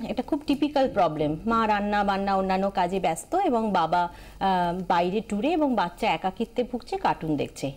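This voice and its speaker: Animated Bengali woman giving expert advice. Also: mid-range voice. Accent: Indian Bengali. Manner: emphatic, repeats key phrases, mixes in English terms. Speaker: expert guest on parenting. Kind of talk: explanatory advice in a TV interview.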